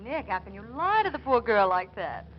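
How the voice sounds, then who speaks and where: Teasing wife, dull radio recording. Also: female voice, mid-range voice, very animated, a little fast. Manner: playful, cooing. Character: teasing, possessive wife on a telephone call. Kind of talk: 1940s radio comedy acting. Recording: dull, faintly noisy 1940s radio recording.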